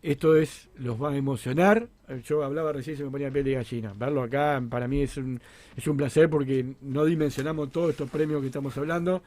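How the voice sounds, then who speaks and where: A man's voice: mid-range voice, steady tone, fairly quick, a little mellow, no echo. A man with a Rosario Argentine accent hosting a chatty live radio show in an effusive, joking way, often repeating himself. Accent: Rosario Argentine Spanish. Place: radio studio.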